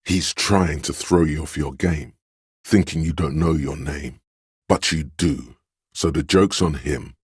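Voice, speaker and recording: An actor playing a stern, domineering inner voice of authority in a video game, with a pompous, imperious, measured delivery of dramatic narration. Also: male voice, extremely low pitch, breathy edge, no room sound, clean studio sound, heavily acted.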